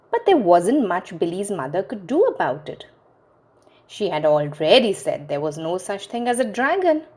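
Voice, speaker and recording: A woman reading a children's picture book aloud in an expressive, sing-song storytelling manner that speaks directly to young listeners. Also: mid-range voice, breathy edge.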